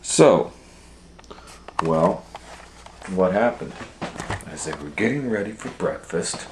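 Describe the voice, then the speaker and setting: Animated man reading a storybook, unhurried. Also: low-pitched, noisy background. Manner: animated, folksy asides and questions to listeners. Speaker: storytime reader and educator. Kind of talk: children's picture-book read-aloud with chatty asides. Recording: roomy, echoing room sound.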